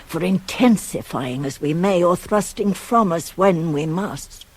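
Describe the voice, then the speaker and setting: A woman's voice: lowish voice, husky. An actress playing a devoted, worldly-wise older nurse to a queen in a radio Greek tragedy, theatrical and insistent. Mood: weary, tender. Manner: theatrical, insistent, measured, weighty phrasing. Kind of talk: 1980s radio drama acting of Greek tragedy.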